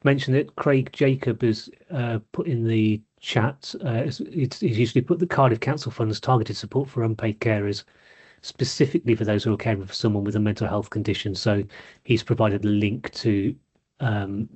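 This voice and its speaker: Male co-presenter, husky, low voice, matter-of-fact relay on a video call. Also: a little mellow. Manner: matter-of-fact, slightly halting. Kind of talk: relaying a chat comment on a webinar.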